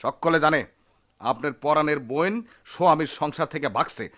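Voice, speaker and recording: A man's voice: on the high side, moderate pace, a bit bright, no room sound, studio quality, natural voice. An actor playing an impulsive, lovestruck village man in a radio drama, with a rural Bangladeshi accent and an impassioned, insistent delivery. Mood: angry. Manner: impassioned, pleading, repeats himself. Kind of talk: Bengali radio drama acting.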